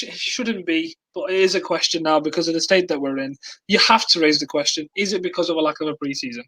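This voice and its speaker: Male tactics guest, high quick voice, hesitant casual talk. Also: bright, forceful tone, poor audio. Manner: hesitant, with frequent restarts and ums. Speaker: podcast guest, tactics analyst. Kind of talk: casual football chat.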